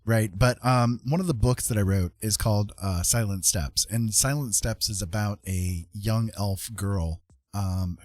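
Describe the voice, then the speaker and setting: A man's voice: on the low side, a little mellow, breathy edge, no room sound. A man hosting a casual live art stream, chatting to viewers in a rambling, hesitant way and often repeating himself. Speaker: live stream host and artist.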